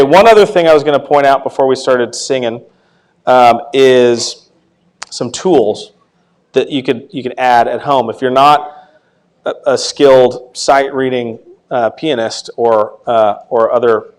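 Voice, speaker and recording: Man, tenor voice, mid pitch, halting with restarts, casual Sunday school teacher. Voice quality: tenor voice, not deep. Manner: halting, many restarts and fillers. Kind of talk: casual church class teaching. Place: church classroom.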